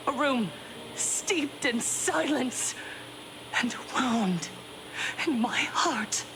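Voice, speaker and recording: A woman voicing a serene young leader of a devout society in a video game, speaking softly and breathily with solemn, theatrical pauses. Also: on the high side, exaggerated voice, poor audio. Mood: anguished, hushed. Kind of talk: scripted game dialogue. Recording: game audio with prominent background music.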